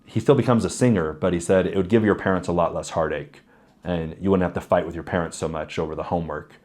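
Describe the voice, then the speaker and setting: Deep, raspy-voiced man in his thirties. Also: fairly even intonation, fast, a little mellow. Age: 30s. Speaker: interviewee. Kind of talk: reflective conversational interview answers with anecdotes.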